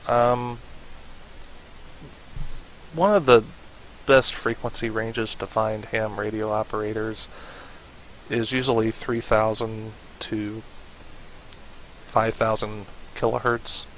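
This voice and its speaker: A man narrating an unscripted software tutorial in an informal, hesitant way, as if thinking aloud. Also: on the low side, fairly even intonation, slow, pauses often, rough recording. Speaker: tutorial presenter. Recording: hissy home voice-over recording.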